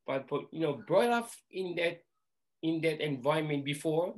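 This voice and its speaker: An older man from Hawaii, reminiscing as an interview guest in plain, halting, repetitive phrasing. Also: on the high side, lively intonation. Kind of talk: storytelling reminiscence.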